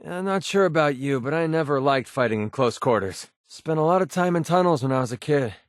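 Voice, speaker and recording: A man's voice: a little high, lively intonation, fairly quick, no echo, studio quality, lightly acted. An actor playing a cynical young mercenary gunman in a video game, talking in a casual, sardonic, world-weary way. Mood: wry, grumbling. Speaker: game companion character. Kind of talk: scripted video game dialogue.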